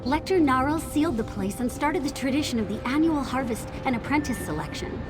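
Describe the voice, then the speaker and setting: A woman's voice: high voice, animated, a little fast. A woman voicing an eager, anxious young apprentice hopeful in a fantasy game, speaking earnestly. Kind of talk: AI-voiced fantasy game dialogue. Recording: music behind the voice. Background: game music.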